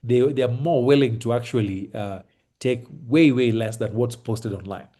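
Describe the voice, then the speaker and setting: Kenyan man, relaxed and reassuring. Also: medium pitch, moderate pace. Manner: relaxed and reassuring, with many fillers and restarts. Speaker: host advising on moving to Kenya. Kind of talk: casual advice chat on a call. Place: home in Kenya.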